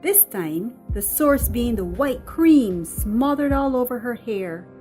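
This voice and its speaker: A woman reading a screenplay as dramatic scripted narration, expressive and deliberate in her storytelling. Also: a little high. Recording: music under the voice. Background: music.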